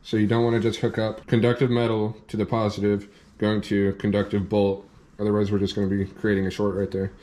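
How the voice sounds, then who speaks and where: A man with a fairly low voice talks through a repair at his workbench in a workshop. His delivery is plain and practical, moving step by step with the odd restart. He sounds like a young host of a hands-on how-to video. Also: completely flat delivery, a little fast, mellow. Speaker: off-road channel host demonstrating a repair.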